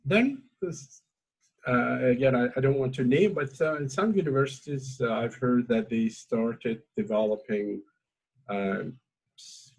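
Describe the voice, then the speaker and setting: Hesitant husky man, non-native English. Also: mid-range voice, rough recording. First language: Azeri. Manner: hesitant, many fillers and restarts. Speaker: academic host. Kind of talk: informal academic discussion.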